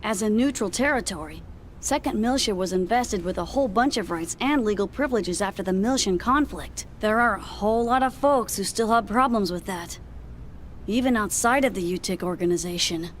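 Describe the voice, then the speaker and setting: A woman's voice: mid-range voice, brisk, slight background noise, lightly acted. An actress playing a spirited, protective young woman in a sci-fi video game. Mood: explanatory.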